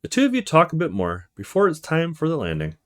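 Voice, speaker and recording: A man's voice: on the high side, wildly swooping pitch, quick pace, a bit bright. A man hosting a let's play, reading the narration of a visual novel aloud.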